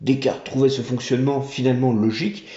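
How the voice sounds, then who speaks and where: Male storyteller, playful and expressive, warm tone, roomy lo-fi take. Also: mid-range voice, brisk. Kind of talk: read-aloud tale narration. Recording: roomy, dull home recording.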